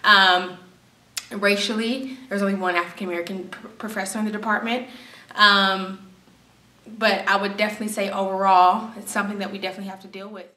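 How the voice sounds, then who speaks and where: A woman's voice: medium pitch, flat delivery, fairly quick, bright, forceful tone. A young adult woman, a college student, giving a casual opinion in an interview. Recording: echoey room, distant mic.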